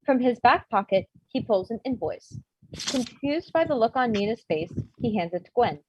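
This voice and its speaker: Female narrator for screenplay directions. Highish voice, muffled home mic, some hiss and clicks. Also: animated, normal speed. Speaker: reader of stage directions. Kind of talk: scripted narration at comedy table read. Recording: dull, noisy home microphone with clicks.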